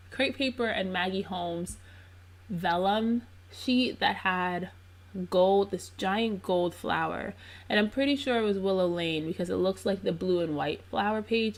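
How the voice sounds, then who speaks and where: A woman narrating a crafting video in a casual, chatty voice-over. She sounds congested and under the weather. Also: medium pitch. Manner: chatty and relaxed, with frequent ums. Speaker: scrapbook crafter narrating her process video.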